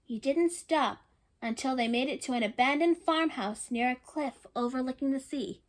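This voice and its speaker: A woman's voice: sits high, normal speed. A woman reading a picture book aloud to children, stopping to chat and ask listeners questions, and often repeating herself. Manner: chatty, warm asides to young listeners, often repeating herself.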